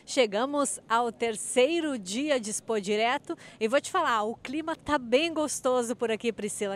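A woman, reporting for TV news as a field reporter. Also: fairly high pitch. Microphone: handheld microphone.

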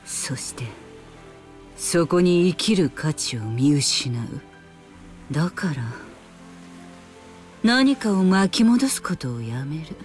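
Sly, animated anime villainess. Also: female voice, on the low side, takes it slowly, pauses often, faint music behind. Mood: weary, reflective. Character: worldly, sly, world-weary villainous woman. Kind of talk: Japanese anime game voice acting.

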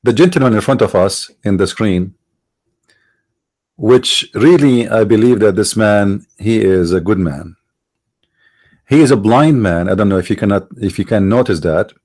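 A middle-aged man with an Arabic accent hosting a commentary monologue, halting, restarting words and stressing his points. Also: on the low side, lively intonation, a little mellow. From Middle East. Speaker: online show host.

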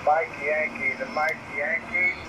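A man calls over a noisy shortwave radio link. He speaks slowly and with very little pitch movement, spelling things out phonetically as an operator does. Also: steady tone. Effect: shortwave radio reception. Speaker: amateur radio operator on air.

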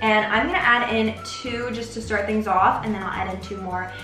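A woman's voice: medium pitch, fairly even intonation, moderate pace. A young North American woman chatting enthusiastically to camera as she hosts a casual cooking tutorial in her home kitchen. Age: young adult. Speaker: baker hosting a recipe video. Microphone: camera mic a few feet away. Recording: roomy, distant camera sound with music.

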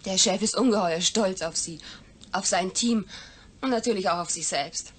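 Eine Schauspielerin spielt in einem deutschen Hörspiel eine höfliche, gefasste Krankenschwester mit förmlicher Art. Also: female voice, medium pitch, normal speed, slightly rough, lightly acted. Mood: composed. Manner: formal, composed. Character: polite, composed female hospital nurse. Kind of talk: German radio play acting.

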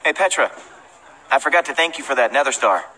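Bright, confident young rival leader. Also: male voice, medium pitch, animated, quick pace, slight background noise, low-quality recording. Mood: easygoing. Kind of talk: animated video game voice acting.

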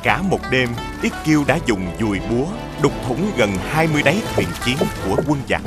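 A man narrating a scripted folk tale in a measured storytelling manner. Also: mid-range voice, very animated. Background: background music.

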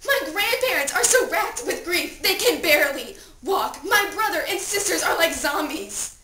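In an echoey, noisy room, a young woman acts out a dead seventeen-year-old girl telling her story in a high voice. Her dramatic monologue is theatrical and anguished, moving between hushed recollection and desperate pleading. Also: expressive, measured pace. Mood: frantic, pleading. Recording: echoey room, distant mic, clear noise.

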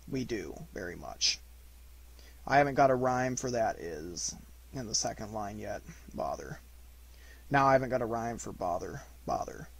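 A man voicing a gloomy, self-important old donkey in a children's storybook, halting and pompous, muttering asides. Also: mid-range voice, gravelly, slightly rough, exaggerated voice, poor audio. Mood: halting, awkward.

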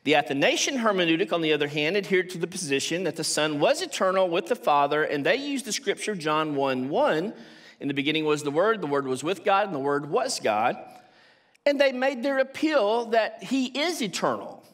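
A man's voice: high voice, big pitch swings, a little fast, fairly bright tone. An older man giving a theology lecture from a podium on an auditorium stage, speaking conversationally with asides and rhetorical questions to the audience. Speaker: theology professor giving a conference talk. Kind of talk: academic lecture at a church conference. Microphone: podium microphone.

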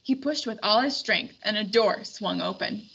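A woman reading a storybook aloud in expressive scripted narration. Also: medium pitch, moderate pace, vocal fry, husky. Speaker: storybook reader. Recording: dull recording with faint background noise.

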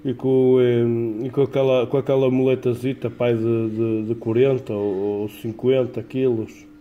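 A man with a European Portuguese accent chatting casually as an amateur radio operator, in a colloquial, halting way with repeated words. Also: mid-range voice, little pitch movement, highs cut off. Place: indoors at a home radio desk.

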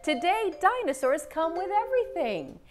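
A woman's voice: very high voice, big pitch swings, moderate pace, over music, pitched above the performer's own voice. A woman voicing a cheerful, reassuring female clerk or nurse in a picture-book read-aloud. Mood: cheerfully explaining.

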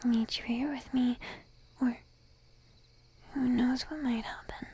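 A woman playing a shy, needy young sheep-girl girlfriend in an ASMR roleplay, whispering softly and coaxingly up close to the microphone. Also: fairly high pitch, fairly even intonation, full character voice. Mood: coaxing, affectionate. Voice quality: soft, breathy whisper. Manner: timid, coaxing, lingering pauses. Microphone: close microphone, dry.

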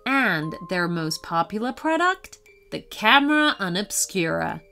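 A woman narrating a children's bedtime story in an expressive storytelling manner. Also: medium pitch, unhurried, soft background music. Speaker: storyteller and channel host.

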